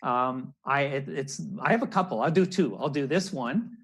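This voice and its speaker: A man's voice: medium pitch, expressive, normal speed, fairly bright tone, husky. Man explaining nature to children. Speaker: naturalist, storyteller. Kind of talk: educational talk for children. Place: room at home, indoors. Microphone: wired earbuds on a video call.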